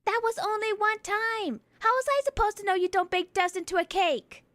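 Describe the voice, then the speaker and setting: A woman doing a bubbly, squeaky young huntress. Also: moderate pace, dry recording, studio quality. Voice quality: bubbly, excitable. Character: hyper cheerful teen huntress girl. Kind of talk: fan audio drama acting.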